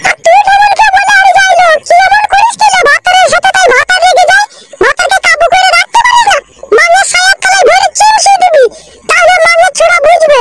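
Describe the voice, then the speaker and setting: A woman voicing a loud, foul-mouthed, scolding cartoon mother-in-law who shouts threats and abuse. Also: extremely high pitch, a little fast, no echo. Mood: angry scolding. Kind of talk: crude comedy cartoon dubbing.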